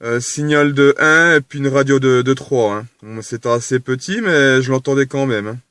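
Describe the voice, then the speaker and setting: A man talks casually over a mobile amateur radio set in a parked vehicle. His voice sits at an average pitch and comes through close and dry, without room echo. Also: moderate pace, a bit bright, clean tone. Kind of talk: casual two-way radio chat. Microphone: vehicle radio microphone.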